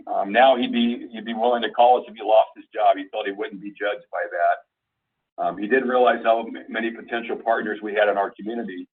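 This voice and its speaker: A low-voiced man presents slides to colleagues on a conference call. His voice is husky and he explains in a halting, deliberate manner. The sound is thin and phone-like, as if he is some way from the receiver. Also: far from the mic. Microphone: conference call phone line.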